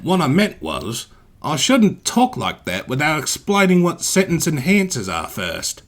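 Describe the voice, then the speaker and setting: A man's voice: on the high side, huge pitch swings, a little slow, voice pushed up, exaggerated voice. A man voicing a friendly, mischievous big steam engine with an Australian accent, casual and slangy, in a storybook tale. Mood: mischievous, explaining.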